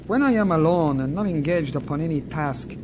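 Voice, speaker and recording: A muffled, noisy recording holds a man acting a young South American sidekick in a radio adventure serial. His voice is fairly high, with a Spanish accent, and he speaks formally, earnest and sorrowful. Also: animated, fairly quick, lightly acted. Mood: troubled, mournful. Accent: Latin American Spanish. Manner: formal, solemn, deferential. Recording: muffled recording with clear background noise.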